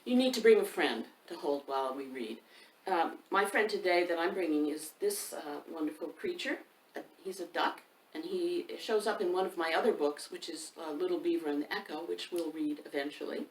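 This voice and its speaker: A woman's voice: on the low side, normal speed. A woman hosting a storytime for children, speaking unhurriedly and directly to young listeners as she chats and reads a picture book expressively. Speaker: children's author and storytime host. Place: indoors by a fireplace, roomy sound. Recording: roomy, distant microphone with faint background noise.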